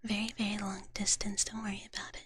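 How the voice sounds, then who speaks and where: A woman whispering softly and breathily in a gentle, doting big-sister manner, chatting as an ASMR livestreamer close to the microphone and often repeating her words. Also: medium pitch, little pitch movement, fast talker, breathy edge. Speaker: ASMR streamer. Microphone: close microphone, dry sound.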